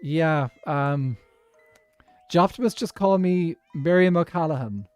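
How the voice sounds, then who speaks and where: A man's voice: a little high, unhurried, a little mellow, clear, resonant tone, no room sound, soft background music. A man from Dublin with a posh Irish accent, streaming a block-building game in casual chat, thinking aloud and laughing often. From Dublin, Ireland.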